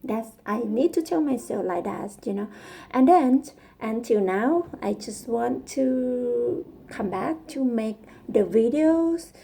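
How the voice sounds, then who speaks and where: A woman with a Thai accent, speaking English as a second language in a casual practice vlog, halting and searching for words with frequent restarts. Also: fairly high pitch, lively intonation, creaky, dark tone, slightly rough. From Thailand. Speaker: vlogger.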